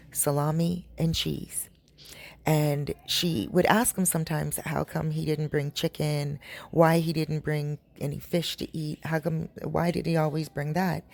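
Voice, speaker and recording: A woman with a raspy, dry-throated voice, chatting to her viewers as she tells a long story. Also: deep voice, a little mellow. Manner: chatty, addresses viewers directly. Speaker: storytelling vlogger. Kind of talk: casual storytelling. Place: home in a Caribbean town.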